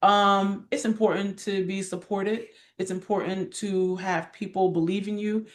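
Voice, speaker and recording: A woman's voice: mid-range voice, flat delivery, a little slow. A woman giving candid, encouraging interview answers in a conversational way, repeating points for emphasis. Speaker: arts guest, director and actress.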